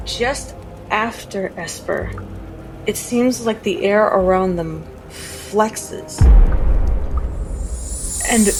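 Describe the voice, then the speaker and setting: A woman playing a tabletop roleplaying game, telling what her character does in the third person with deliberate, building dramatic phrasing. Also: medium pitch, rough recording. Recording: voice over faint background music.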